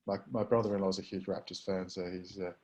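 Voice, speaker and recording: A man's voice: sits low, level tone, fast talker, a little mellow, highs cut off. A man hosting a business webinar, moderating the discussion in a conversational way. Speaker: webinar host.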